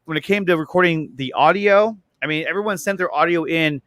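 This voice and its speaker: Higher-voiced man gushing in casual chat. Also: a bit bright, dry recording, clean studio sound. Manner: gushing, halting with repeated words.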